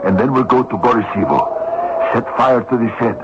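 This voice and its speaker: A man's voice: medium pitch, brisk, dull audio, lightly acted. A man acting in an old radio drama as a bitter, hardened drifter, brusque, impatient and defiant. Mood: urgent, callous. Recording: noisy band-limited old radio recording.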